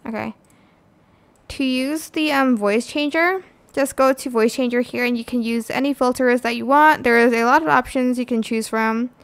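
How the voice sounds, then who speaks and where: High, clear-voiced woman. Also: moderate pace. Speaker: video tutorial host. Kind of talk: casual screen-recorded software tutorial.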